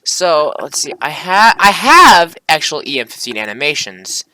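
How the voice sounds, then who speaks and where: Bright-voiced young man, casual and rambling. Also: fairly high pitch, sing-song, moderate pace, no room sound, rough recording. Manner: informal, rambling, self-correcting. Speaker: video creator. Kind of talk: casual screen-recording commentary, reading script aloud.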